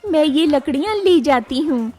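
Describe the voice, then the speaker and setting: A woman's voice: high-pitched, lively intonation, moderate pace, darkish tone, voice pushed up. A woman voicing a brusque village woman haggling over firewood.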